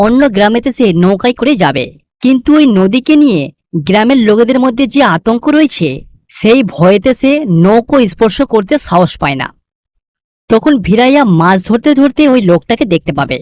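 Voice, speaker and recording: Casting: woman storyteller for cartoon folk tales, scripted narration with widely varied pitch. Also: darkish tone.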